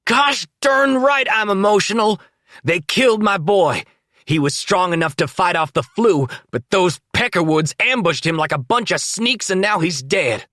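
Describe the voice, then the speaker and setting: A man's voice: sits high, animated, unhurried, no room sound, studio quality, pitched above the performer's own voice, heavily acted. A man doing a furious, ranting town boss. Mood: furious shouting. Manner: shouting, ranting.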